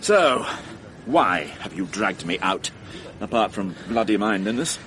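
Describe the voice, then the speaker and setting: An actor playing a dry, wry middle-aged police inspector in a radio drama, clipped and lightly sarcastic. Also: male voice, on the low side, sing-song, measured pace, noisy background.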